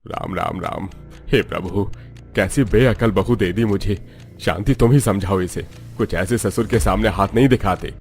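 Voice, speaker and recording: A man acts as an older family patriarch in a cartoon. His voice is fairly low and his manner disapproving and authoritative. Faint music runs behind him. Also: moderate pace, darkish tone, lightly acted. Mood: exasperated. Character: conservative, grumbling older Indian father-in-law. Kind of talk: Hindi cartoon story acting.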